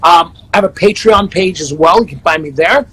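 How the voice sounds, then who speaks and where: Husky, high-pitched man in his 30s; upbeat, self-promoting interview guest. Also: fairly quick, fairly bright tone, poor audio. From Panama and the Netherlands. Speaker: life coach guest. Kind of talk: casual interview chat.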